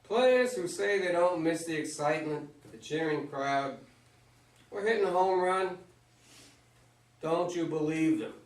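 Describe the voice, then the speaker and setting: An actor in a staged play reading, playing an avuncular, plainspoken retired baseball legend who gives folksy advice. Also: male voice, on the high side, lively intonation, unhurried, pauses often. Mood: reflective. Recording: roomy stage, far from microphone.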